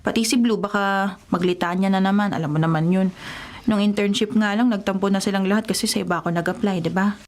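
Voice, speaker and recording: A woman's voice: medium pitch, fairly even intonation, quick pace, darkish tone, natural voice. An actress playing a boyish, easygoing and caring young woman in a radio drama, speaking casually. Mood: casual, guarded. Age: young adult. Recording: music bed behind radio drama dialogue. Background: music.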